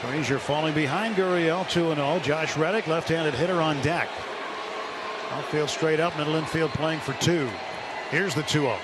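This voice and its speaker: A man's voice: fairly high pitch, normal speed. A man doing live baseball play-by-play on radio from a stadium booth, with colorful, folksy turns of phrase. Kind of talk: live sports commentary. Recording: loud ballpark crowd and noise. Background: roaring stadium crowd.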